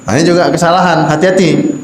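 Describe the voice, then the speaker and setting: A man's voice: on the high side, steady tone, fast. Echoey Javanese man teaching in a mosque. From Java, Indonesia. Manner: explains patiently with rhetorical questions, coughs now and then. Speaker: Quran recitation teacher. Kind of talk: teaching imams and preachers, with sung Quran recitation examples. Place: mosque hall. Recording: echoey mosque hall, faint background hum.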